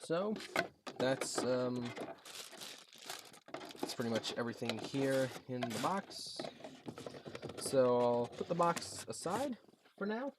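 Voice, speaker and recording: A young American man chatting casually through a home unboxing video, halting and rambling as he goes. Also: medium pitch, expressive, background noise. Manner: halting, rambling, reads box text aloud. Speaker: video creator showing a gadget. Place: basement room at home.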